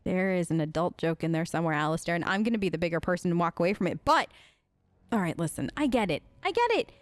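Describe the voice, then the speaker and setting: A woman's voice: on the low side, lively intonation, quick pace, dry recording, studio quality. A woman improvising casual in-character dialogue for a video game let's play, chatty and rambling with hesitations. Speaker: let's play host role-playing her game character.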